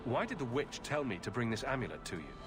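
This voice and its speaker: An actor playing a blunt, wry human adventurer in a fantasy video game, with a dry, casual manner. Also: male voice, medium pitch, very animated, quick pace, over music, lightly acted. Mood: curious.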